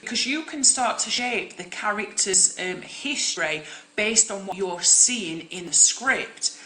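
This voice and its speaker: A young woman, an acting coach, teaching in a loud, projecting and direct way on a live stream. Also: medium pitch, lively intonation, a little slow, reverberant, rough recording.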